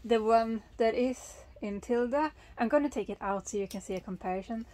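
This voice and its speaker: Female vlogger, 30s, slightly high voice, casual and enthusiastic explainer. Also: brisk, dark tone. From Sweden. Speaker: sailing vlogger. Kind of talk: casual explanatory chat to camera. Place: small sailboat, in cabin and covered cockpit, and outdoors at a marina.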